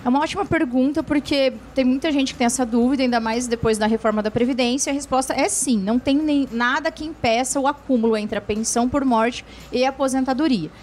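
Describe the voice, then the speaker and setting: A woman's voice: sits high, fast, hardly any pauses, a little mellow, a little hiss or hum. A woman lawyer answering viewer questions on a TV show, explaining patiently with frequent tag questions and restarts. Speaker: lawyer, guest expert.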